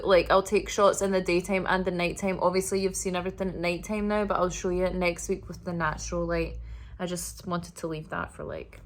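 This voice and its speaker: A woman vlogging a casual apartment tour indoors, talking in a monotonous, relaxed way with lots of fillers. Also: fairly low pitch. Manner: monotonous, flat delivery; relaxed, filler-heavy talk.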